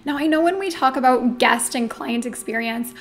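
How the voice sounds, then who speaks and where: A woman in her thirties with a high voice speaks straight to the listener as a coach. She sounds upbeat and encouraging, stressing key words as she explains her advice step by step. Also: moderate pace. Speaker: marketing coach, presenter. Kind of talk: upbeat instructional video to camera. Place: small indoor room.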